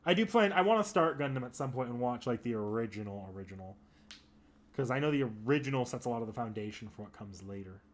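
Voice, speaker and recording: A man's voice: medium pitch, lively intonation, fast talker. A man chatting casually while streaming a game. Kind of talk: casual chat while gaming.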